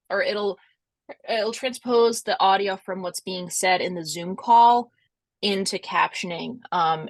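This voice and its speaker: A woman's voice: mid-range voice, no echo. A woman with a Rhode Island accent hosting a video-call webinar, answering questions in a chatty, reassuring way. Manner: friendly, chatty, reassuring, many fillers. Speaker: webinar host, conference organizer. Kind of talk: casual chat, answering questions.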